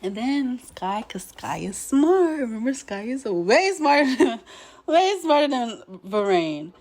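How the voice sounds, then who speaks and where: Young woman w a hoarse nasal sick voice, laid-back show recap, clears throat a lot. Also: a little high, sing-song, slow, a little mellow. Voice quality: slightly nasal. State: hoarse, recovering from illness. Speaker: show commentator. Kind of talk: casual recap commentary.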